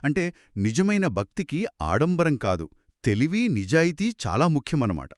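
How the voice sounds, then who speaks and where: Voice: mid-range voice, sing-song, normal speed, darkish tone, no echo, studio quality. A curious, engaged co-host in a conversational story dialogue, prompting the storyteller with questions and short reactions.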